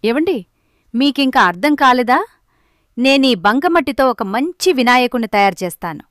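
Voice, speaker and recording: A woman playing a gentle, grateful poor village wife and mother in a moral story cartoon. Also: high voice, animated, normal speed, dry recording, studio quality. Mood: confident. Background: background music.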